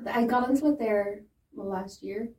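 A woman's voice: mid-range voice, moderate pace, a little mellow. A woman in her thirties chatting casually, swearing freely and laughing often. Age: 30s. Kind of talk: casual chat. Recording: roomy, from a distance.

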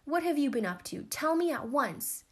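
A woman reader puts on a storybook older sister, frantic and bossy. The voice is pitched high and pushed loud, with urgent, imperious commands. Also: sing-song, normal speed. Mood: snappish.

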